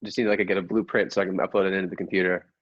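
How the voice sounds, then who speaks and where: Guy doing a sheepish stammery inspector, lowish voice, kinda petulant, improv comedy, dull lo-fi audio. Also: level tone. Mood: defensive. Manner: halting, stammering, defensive. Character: sheepish, petulant inspector who lives with his mom.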